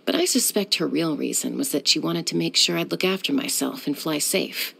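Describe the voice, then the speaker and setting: An actress voicing a cool, confident young woman who is a sky-hunter and peacemaker in a video game, speaking in a calm, composed, measured way. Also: low voice, creaky, slightly rough, full character voice. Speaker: game character.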